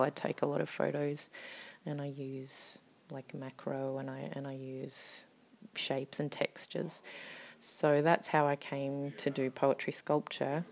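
Woman, poet guest, casual, hesitant with ums, soft trailing words, very low voice, gravelly fry. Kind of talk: casual interview talk.

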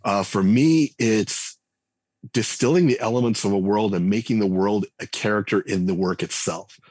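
A man's voice: lowish voice, normal speed, darkish tone, breathy edge, no echo. A man speaking as a podcast guest, talking in a thoughtful, rambling way with lots of fillers and restarts. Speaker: game writer and designer guest. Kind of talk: conversational podcast interview.

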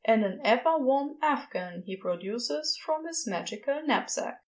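High-voiced woman narrating fiction. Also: animated, measured pace, rough recording.

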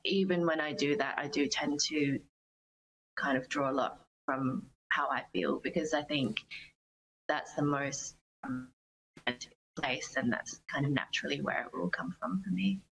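A woman's voice: low-pitched, normal speed, a bit bright, slight background noise, rough recording. A young woman answering interview questions as a singer-songwriter, speaking conversationally in a reflective, earnest way. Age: young adult.

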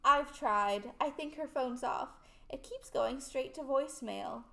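Haughty, snide high school queen bee female performer, bright and high, amateur radio drama. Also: a little slow, creaky, exaggerated voice. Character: snide, haughty teenage mean girl. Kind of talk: amateur radio play acting.

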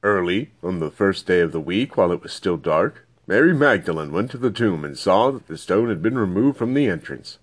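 A man's voice: sits low, expressive, fairly quick, few pauses. A man reading scripture aloud as scripted narration. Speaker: Bible reader.

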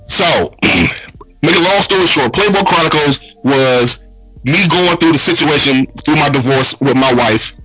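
A man's voice: on the high side, unhurried, sharp tone, slightly rough, poor audio. A man guesting on a casual podcast, a laid-back storyteller who keeps saying "real talk" and "man". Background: background music track.

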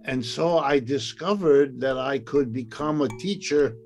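Older man recalling his life with faint music. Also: mid-range voice. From United States. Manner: unhurried, many "uh" and "um" fillers, occasional laughs. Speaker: economics professor, interviewee. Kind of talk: reflective personal storytelling.

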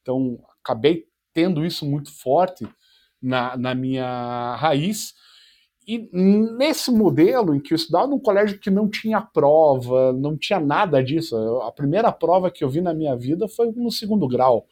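A man in his forties, a startup founder and podcast guest, telling his life story in a relaxed way with self-deprecating jokes. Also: a little high, normal speed. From Brazil. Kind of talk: podcast interview.

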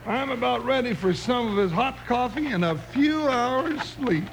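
A man's voice: very high voice, expressive, a little slow, creaky, heavily acted. A man playing a comic, easily spooked American cowboy sidekick with a folksy, complaining drawl in a 1950s radio western. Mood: wary, folksy. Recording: old radio recording, faint noise.

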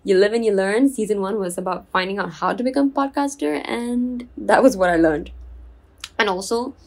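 A young woman from Singapore hosting her own podcast in a chatty, confiding way, enunciating clearly with a soothing voice. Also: medium pitch, moderate pace, darkish tone. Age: 20s. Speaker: podcast host. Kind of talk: casual solo podcast chat.

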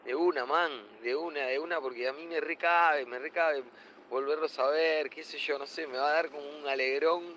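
A man's voice: on the high side, fairly even intonation, normal speed, a bit bright, lightly acted. A man with an Argentine accent playing an enthusiastic middle-aged slacker who repeats himself in heavy slang. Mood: delighted. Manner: repetitive, slangy. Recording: dull, faintly noisy voice message.

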